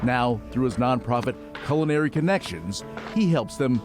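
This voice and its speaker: A man's voice: mid-range voice, expressive, moderate pace, music in the background. A man reading scripted narration for a television news feature as reporter and anchor.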